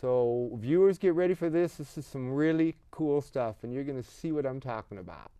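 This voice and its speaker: A man hosting a casual interview, chatty and affirming, with quick asides to the guest and viewers. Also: mid-range voice, animated, warm tone, smooth, no echo. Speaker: talk show host.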